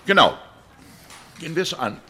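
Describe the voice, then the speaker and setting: An actor playing a brooding, self-doubting artist in a radio play, speaking in halting, broken phrases. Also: male voice, fairly high pitch, sing-song, bright, forceful tone, slightly rough, full character voice. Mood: strained, halting. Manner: halting, fragmented speech with abrupt outbursts. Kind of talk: stylised radio play acting.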